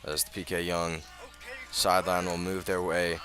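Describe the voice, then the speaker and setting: A man doing live radio play-by-play of high school football, often halting and correcting himself as he goes. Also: little pitch movement, sharp tone, faint music behind. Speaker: play-by-play sports announcer.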